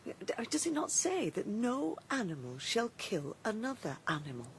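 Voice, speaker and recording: A woman's voice: on the high side, wildly swooping pitch, moderate pace, a little hiss or hum. An actress playing a trusting, troubled female farm horse in a radio drama, hesitant and questioning.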